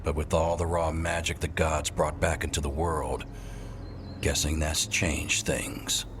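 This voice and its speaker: Very deep, grim elven ranger. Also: male voice, flat delivery, unhurried. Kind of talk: fantasy game voice acting. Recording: clear background ambience noise.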